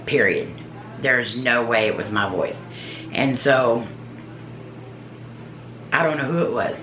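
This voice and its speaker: Deep-voiced woman telling a story in a roomy space. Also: fairly bright tone, a little hiss or hum. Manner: earnest, halting, searching for words. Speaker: paranormal investigator. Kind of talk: casual personal storytelling. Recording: roomy echo.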